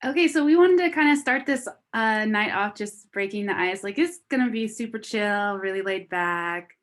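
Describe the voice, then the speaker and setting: A young woman from Las Vegas, a student, hosting a relaxed online welcome session on a video call and chatting with many fillers. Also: medium pitch, normal speed. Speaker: wellness committee member hosting a video call. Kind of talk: casual online presentation.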